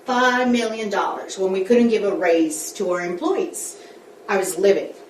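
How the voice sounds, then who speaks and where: A woman's voice: mid-range voice, measured pace, a little hiss or hum. A woman school board member speaking candidly, coaching colleagues through a group workshop in a meeting room. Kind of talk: workshop discussion. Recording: echoey room, distant mic.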